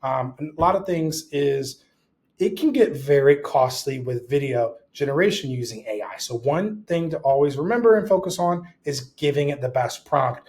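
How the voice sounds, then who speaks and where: A man's voice: medium pitch, animated, normal speed, darkish tone. A man presenting a casual, explanatory tutorial as an instructor, posing short rhetorical questions as he goes.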